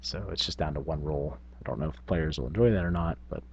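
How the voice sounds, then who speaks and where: A man's voice: a bass voice, quick pace, vocal fry, darkish tone, dull audio, a little hiss or hum. A man hosting a tabletop gaming vlog, talking casually in a rambling, self-effacing, unscripted way. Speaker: tabletop gaming video host.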